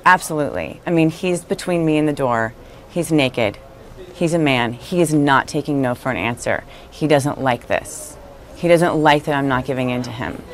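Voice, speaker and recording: Low, even-pitched woman over noisy background. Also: moderate pace. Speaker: interviewee. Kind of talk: recounting a personal experience in an interview. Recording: noisy interview clip playing.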